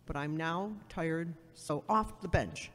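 A woman's voice: deep voice, slow pace. A woman acting a blunt, haughty woman who gives sardonic advice in an amateur audio drama. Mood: brusque. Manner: brusque, sardonic, superior. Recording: echoey hall with long reverb.

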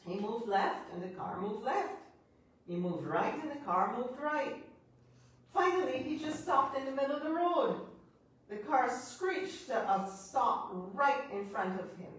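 A woman's voice: fairly high pitch, far from the mic. Bajan woman priest in echoey church. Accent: Barbadian. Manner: chatty, laughing asides, teasing the congregation. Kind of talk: sermon with congregation banter. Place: church sanctuary at a pulpit. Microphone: far camera.

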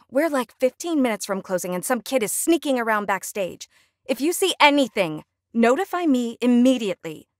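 A stern young female night guard in a horror game. Her voice is high and swings widely in pitch, irritated and exasperated, captured very dry and close. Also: fairly bright tone, no echo, heavily acted. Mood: annoyed, scolding. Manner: stern, exasperated, sing-song when coaxing. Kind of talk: video game voice lines.

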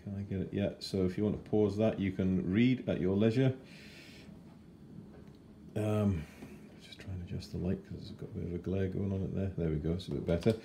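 A man in his forties giving a chatty, unhurried model kit review at his kitchen table. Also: low-pitched, steady tone, vocal fry, dark tone, a little hiss or hum. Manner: chatty and unhurried, with fillers and self-corrections. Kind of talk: casual unboxing review.

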